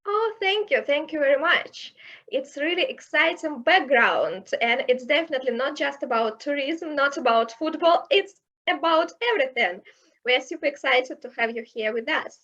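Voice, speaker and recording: A woman's voice: high-pitched, expressive, moderate pace, fairly bright tone, lo-fi sound. A woman, a students' union coordinator, hosting an informal online talk in an upbeat, welcoming way with some hesitations.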